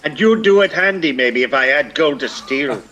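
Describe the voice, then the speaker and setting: A man's voice: on the high side, animated, moderate pace, sharp tone, low-quality recording. An actor playing a ragged, lazy older peasant man who grumbles and needles in a lilting, theatrical radio play style. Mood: whining protest.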